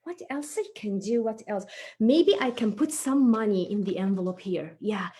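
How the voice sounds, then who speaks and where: A woman's voice: mid-range voice, animated, moderate pace, mellow, close to an everyday voice. A woman voicing an eager, conscientious child puppet who thinks aloud and explains things simply in a children's story show. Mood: thoughtful, earnest. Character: chatty, eager young child puppet, impatient but conscientious.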